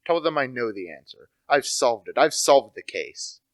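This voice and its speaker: A man's voice: medium pitch, sing-song, normal speed, dry recording, natural voice. A young man acting as an insistent, self-assured amateur detective in an amateur radio play. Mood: urgent. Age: teenager to young adult. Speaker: actor.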